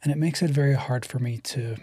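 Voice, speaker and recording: A guest in their thirties from Lebanon, speaking slowly and thoughtfully, with a stutter that often shows as silent pauses. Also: medium pitch, warm tone, slightly rough, no room sound, clean studio sound. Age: 30s. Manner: slow, measured and thoughtful. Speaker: guest, poet and writer. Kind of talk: reflective interview conversation.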